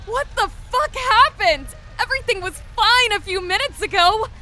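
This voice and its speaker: A desperate young woman, played by an actress, cries out frantically in an extremely high, piercing voice. Her terrified words are stretched out, as in an audio drama, over faint background noise. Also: lively intonation, moderate pace, bright, forceful tone, heavily acted. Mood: panicked shock.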